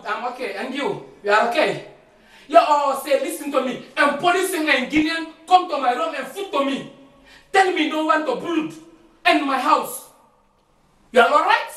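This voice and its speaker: An actor playing an arrogant homeowner accused of murder, loud and defiant, who mixes in broken English. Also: male voice, very high voice, unhurried, long pauses, echoey room, distant mic, full character voice, low-quality recording. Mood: blustering. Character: arrogant rich man resisting police search. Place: house interior.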